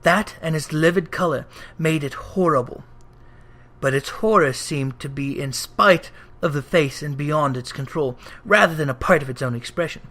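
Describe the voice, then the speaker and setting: A narrator reading a classic story aloud in an expressive, theatrical way, with deliberate, drawn-out emphasis on phrases. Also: mid-range voice. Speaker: narrator, audiobook reader.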